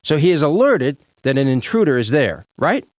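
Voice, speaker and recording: A man's voice: on the high side, big pitch swings, normal speed, no room sound, clean studio sound. A man voicing a pompous cartoon prosecutor who questions in a dramatic, grandiose way. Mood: self-important. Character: pompous, theatrical male prosecuting lawyer. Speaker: lawyer character. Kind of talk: animated courtroom cartoon voice acting.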